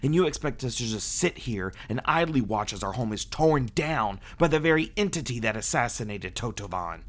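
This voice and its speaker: A man's voice: medium pitch, expressive, moderate pace, lightly acted. A man playing a brooding, bitter vampire in an ASMR audio roleplay. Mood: angry, accusing. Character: brooding, bitter male vampire, resentful of his absent guardians.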